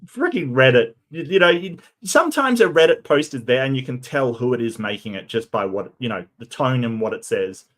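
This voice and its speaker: A man hosting a solo stream, talking in a casual way. His voice is croaky and tired, and he often stammers and repeats his first words. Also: mid-range voice, lively intonation, fairly quick, few pauses, a bit bright. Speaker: streamer host.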